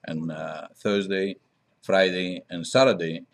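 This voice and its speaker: A middle-aged man from Greece with a Greek accent answers interview questions conversationally, in halting non-native English with frequent pauses. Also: sits low, steady tone. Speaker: interview guest, diner owner.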